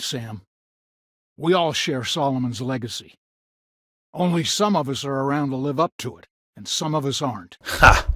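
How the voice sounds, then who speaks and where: An actor playing a gruff, proud, bitter old grandfather in a video game, curt and scornful, with a gravelly, husky voice. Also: mid-range voice, pushed and bright, no echo, clean studio sound. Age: older. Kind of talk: video game character dialogue.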